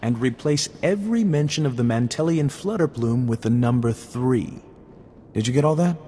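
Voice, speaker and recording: A man's voice: mid-range voice, expressive, moderate pace, dark tone, a little hiss or hum, close to an everyday voice. A man voicing a sly, self-satisfied, genteel rogue in a video game, with a florid and droll manner. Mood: droll, instructive. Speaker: game character actor. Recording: long reverb, music behind. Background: game music.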